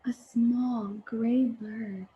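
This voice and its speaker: A woman voicing a quiet little girl from a picture book, soft-spoken, gentle and careful. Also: on the high side, steady tone, extremely slow, highs cut off. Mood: earnest, soft. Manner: hushed, careful.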